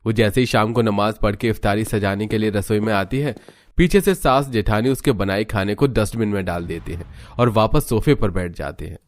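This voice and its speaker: Guy narrating a hindi cartoon story, lowish voice, scripted read. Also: moderate pace.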